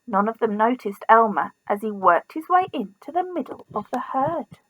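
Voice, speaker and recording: A woman teacher reading a children's story expressively, in a deliberate manner with pauses. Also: a little high, sing-song, fairly bright tone, dry recording, low-quality recording. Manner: deliberate, pausing, asks listeners questions.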